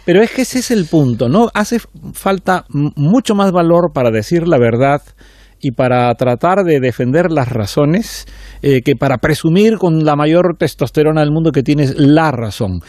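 A man's voice: on the high side, expressive, moderate pace, darkish tone, dry recording, studio quality. A man who teaches at a university, speaking reflectively as a guest on a panel discussion. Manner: reflective, lecturing.

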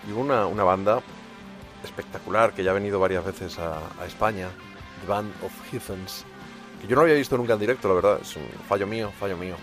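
A man's voice: fairly low pitch, quick pace. A man hosting a radio music show, chatting casually, teasing his co-host and talking up bands.

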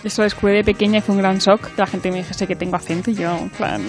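Young Madrid woman, medium-pitched voice. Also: steady tone, quick pace, faint music behind. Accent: Madrid Spanish. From Madrid, Spain. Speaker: radio contributor. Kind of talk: casual radio chat. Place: radio studio.

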